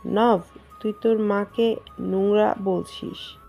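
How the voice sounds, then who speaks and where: Audio drama: woman to play scornful middle-aged matriarch, indignant and hostile, dark timbre on the higher side, light music under. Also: steady tone, slow pace, gravelly. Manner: sharp-worded, indignant, scornful. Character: haughty, hostile middle-aged mother of the household. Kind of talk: Bengali audio story acting.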